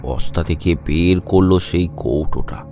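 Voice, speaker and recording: A man narrating a suspenseful animated horror story in a dramatic storytelling way. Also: low-pitched, normal speed, vocal fry. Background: background music.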